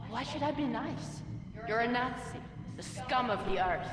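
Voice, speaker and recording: A woman's voice: on the high side, moderate pace, lightly acted. An actress playing a bitter, defiant woman prisoner who speaks with scorn in a dramatic video game scene. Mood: scornful defiance. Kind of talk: scripted dramatic game dialogue. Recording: distant echoey hall with background crowd.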